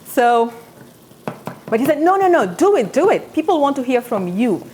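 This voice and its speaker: A woman's voice: high voice, sing-song, normal speed, a little mellow, a little hiss or hum. A woman in her thirties from South Sudan, with a South Sudanese accent, hosting a live cooking show from her home kitchen and telling stories as she cooks. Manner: chatty, storytelling while cooking. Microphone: camera on tripod, medium distance.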